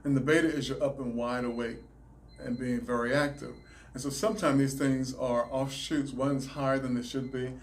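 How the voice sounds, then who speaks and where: A man with a Southern US accent, a chiropractor, answering interview questions in a measured, explanatory way. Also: mid-range voice, flat delivery, a little hiss or hum. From Alabama, raised in South Carolina. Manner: measured, explanatory, some restarts. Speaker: chiropractor, guest.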